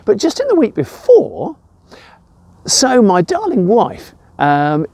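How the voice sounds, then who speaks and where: A man's voice: sits high, wildly swooping pitch, slow pace, warm tone, no room sound, clean studio sound. An older man with an English accent giving an informal devotional talk in an emphatic way, with personal anecdotes. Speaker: church devotional presenter.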